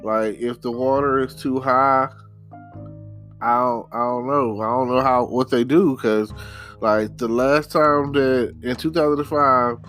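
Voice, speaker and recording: A man's voice: mid-range voice, steady tone, a bit bright. Rambling man podcasting over background music. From Texarkana, United States. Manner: rambling, halting, repeats words, says "you know" often. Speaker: podcast host. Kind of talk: casual rambling solo podcast monologue.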